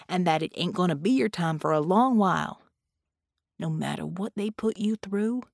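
A woman's voice: mid-range voice, a little mellow, no room sound, clean studio sound. A woman voicing a young male ghost in an audiobook, wry and earnest, with a folksy, colloquial way of talking.

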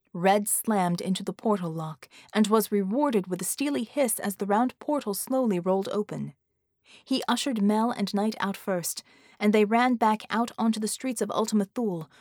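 A woman's voice: mid-range voice, darkish tone, dry recording, studio quality. A woman reading an action story as an audiobook narrator, in a dramatic scripted style.

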